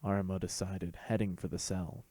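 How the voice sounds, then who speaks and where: A man's voice: low-pitched, level tone, moderate pace, warm tone, no room sound. A man reading a scripted fan fiction story as host and narrator.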